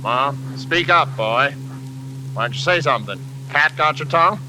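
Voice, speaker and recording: A man's voice: a little high, expressive, normal speed, natural voice. An actor playing a bitter, bullying invalid father who scolds and barks orders, in the style of 1940s American radio drama. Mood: scolding, sneering. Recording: hissy vintage radio recording.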